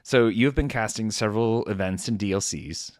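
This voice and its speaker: A low-pitched man hosts a relaxed interview, asking rambling questions and nodding along with quick agreement. The sound is dry and close. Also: dry recording. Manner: affable, agreeable, lots of backchannel. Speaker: podcast host. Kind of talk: casual interview chat.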